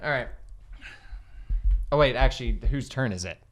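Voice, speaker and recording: A man's voice: mid-range voice, normal speed, crowd noise. A man playing a tabletop game, chatting casually and jokingly and often laughing. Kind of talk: casual banter.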